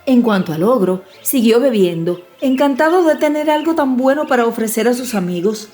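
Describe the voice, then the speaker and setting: A woman storyteller giving an expressive, measured narration of a dramatized fairy-tale audiobook. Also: on the high side. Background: music.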